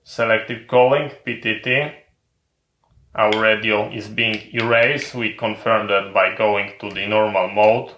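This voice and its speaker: Slow, bright-voiced man giving step-by-step instructions. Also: on the low side, fairly even intonation, reverberant, a little hiss or hum. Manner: methodical, repetitive phrasing in short separated steps. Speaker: tech channel presenter giving a tutorial. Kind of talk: step-by-step instructional narration of a hardware demonstration.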